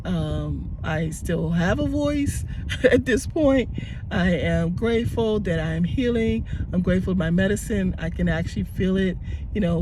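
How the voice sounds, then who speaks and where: A man in his sixties, hoarse and raspy from tonsillitis, rambling earnestly through a daily gratitude vlog. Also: high voice, noisy background. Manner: rambling and earnest, many fillers and restarts. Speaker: gratitude vlogger. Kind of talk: casual spoken faith vlog.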